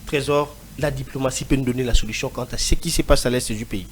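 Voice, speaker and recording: Tv debate moderator guy, quick questions to the panel, a bit of background hiss. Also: medium pitch. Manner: brief, passes questions to guests.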